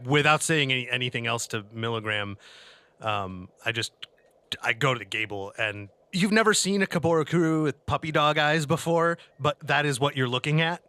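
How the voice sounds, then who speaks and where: A man's voice: medium pitch, moderate pace, sharp tone, no echo. A man playing in a tabletop roleplay game, talking casually. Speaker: player on a roleplay show. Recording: music clearly playing behind the voice.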